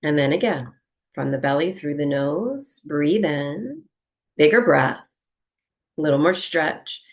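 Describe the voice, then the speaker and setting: A woman leads a guided breathwork session at a very slow pace. Her voice sits a little low, with a strong creak in it. She gives gentle instructions and leaves long pauses between them. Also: lively intonation. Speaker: yoga and breathwork teacher.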